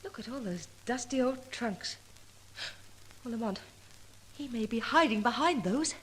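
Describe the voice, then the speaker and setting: A woman's voice: fairly high pitch, expressive, a little slow, fairly bright tone, slightly rough, exaggerated voice. An actress playing an anxious, loyal female companion to a sleuth in melodramatic 1940s radio acting. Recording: noisy vintage radio recording with clicks.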